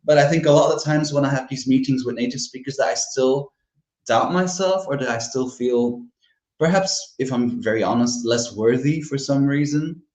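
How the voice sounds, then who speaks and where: A man with a Flemish accent, speaking English as a second language, talks candidly and reflectively as a podcast guest, breaking into easy laughs. His voice sits at an ordinary middle pitch. The recording carries a noticeable roomy echo. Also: normal speed, a little mellow. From Belgium (Flanders). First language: Dutch (Flemish). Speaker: horror author, podcast guest. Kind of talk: casual interview chat.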